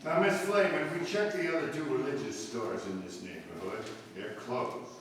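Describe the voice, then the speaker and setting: A man's voice: mid-range voice, normal speed, slight background noise, close to an everyday voice. A man playing a polite, businesslike police detective partner in a 1950s radio drama, with plain questioning delivery. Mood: polite, easy. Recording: very echoey hall, distant mic, music.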